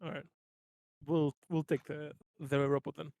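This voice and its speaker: Man, higher than average pitch, game player, informal chat, dry room sound. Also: flat delivery, moderate pace, creaky, darkish tone, no room sound. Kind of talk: casual table talk.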